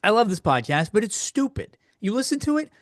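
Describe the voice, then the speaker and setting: A man's voice: high voice, sing-song, a little fast, dry recording, studio quality. A man hosting a comedy podcast, ranting casually in a crude, self-deprecating, rambling conversational way. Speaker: podcast host. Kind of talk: casual comedic solo rant, answering listener questions.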